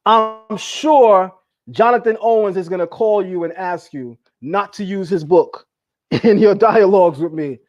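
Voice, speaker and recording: A man's voice: high voice, a little slow, darkish tone, lo-fi sound. A man hosting a heated live debate, emphatic and boastful, repeating himself as he shows his sources. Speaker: host.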